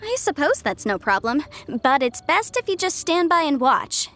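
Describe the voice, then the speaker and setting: Game role: breezy, chatty young woman legal advisor, high voice, businesslike and self-assured. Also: sing-song. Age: young adult. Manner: brisk, articulate, salesy, breezy. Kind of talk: video game voice acting. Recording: soft game music behind.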